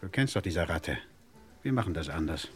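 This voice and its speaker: An actor playing a menacing gangster boss in a German crime radio play, mock-friendly, condescending and threatening. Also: male voice, sits low, sing-song, moderate pace, over loud music. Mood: threatening, contemptuous. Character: menacing, sneering gangster boss owed money.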